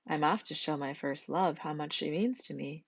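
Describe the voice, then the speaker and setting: A woman voicing a devoted, cheerful gentleman goat in a children's storybook, earnest and polite. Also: low voice, moderate pace, vocal fry, dry recording, lo-fi sound. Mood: cheerful, eager.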